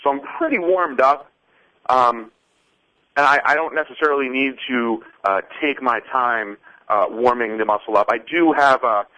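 A man of thirty, a guest on a radio show, calmly explaining his workout methods step by step. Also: medium pitch, moderate pace, rough recording. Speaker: fitness guest. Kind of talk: casual interview answers.

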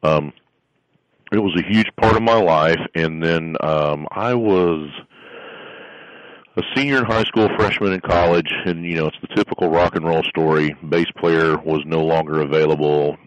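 A middle-aged man from South Florida with a very deep bass voice, telling stories in a casual interview with lots of fillers and restarts. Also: fairly even intonation, normal speed, no echo, lo-fi sound. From South Florida, USA. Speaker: guest, strength coach and musician.

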